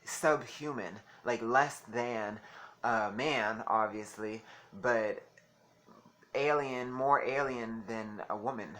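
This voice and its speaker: A soft-voiced man vlogging in a chatty, giggly way, calling listeners honey. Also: mid-range voice, takes it slowly. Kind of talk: casual talk to camera.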